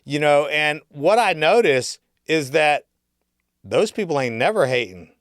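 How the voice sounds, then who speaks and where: A man's voice: fairly high pitch, sing-song, measured pace, no room sound. Man chatting in slangy, emphatic style, dry sound. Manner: slangy, emphatic, often checks with "you know what I'm saying". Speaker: podcast guest or co-host talking about real estate work. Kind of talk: casual podcast chat.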